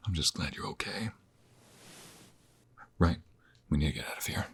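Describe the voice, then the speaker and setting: A man playing a protective, tender mobster in a hushed, intimate and urgent ASMR romance roleplay, with a breathy, gravelly voice. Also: deep voice, moderate pace, slight background noise. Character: protective, tender mobster rescuing his lover.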